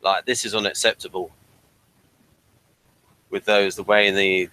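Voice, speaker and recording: A man's voice: fairly low pitch, flat delivery, unhurried, pushed and bright, clear, resonant tone, rough recording. A man hosting a review show in a casual, conversational style. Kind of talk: casual chat.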